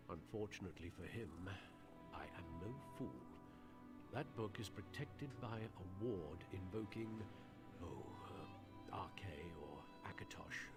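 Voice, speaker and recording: A man voicing a vengeful, haughty wizard in a video game, with a theatrical, pompous and indignant manner. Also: fairly low pitch. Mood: scornful. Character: vengeful, haughty old wizard robbed by his apprentice. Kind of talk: video game character acting. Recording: music behind the voice.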